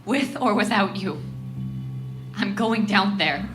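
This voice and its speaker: An actress playing a distraught, stubborn daughter with emotional, halting delivery in a horror audio drama. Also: female voice, mid-range voice, over music, natural voice. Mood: hushed determination. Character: distraught, stubborn young daughter drawn to the uncanny.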